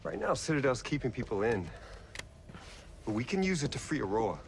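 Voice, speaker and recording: An actor playing an anxious, remorseful tech company founder in a video game cutscene, intense and troubled. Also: male voice, medium pitch, big pitch swings, a little fast, vocal fry, slight background noise. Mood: urgent.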